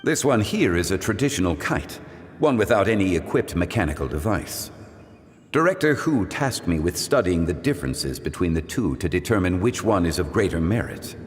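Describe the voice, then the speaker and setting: A courteous, dignified scholar. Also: male voice, deep voice, expressive, breathy edge. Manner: measured, formal, courteous. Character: calm, dignified, learned man. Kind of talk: game voice acting.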